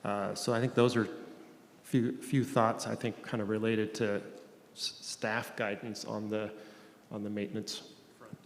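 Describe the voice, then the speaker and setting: Man, conference panelist, gravelly voice, halting restarts, echoey hall. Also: on the low side, little pitch movement. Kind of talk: panel discussion. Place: conference room. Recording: echoey hall, faint noise.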